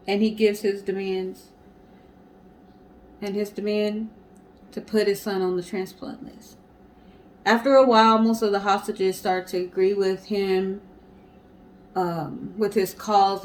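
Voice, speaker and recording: Mid-pitched woman storyteller, some background noise. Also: darkish tone, rough recording. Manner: conversational, explanatory. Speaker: co-host. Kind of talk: casual storytelling.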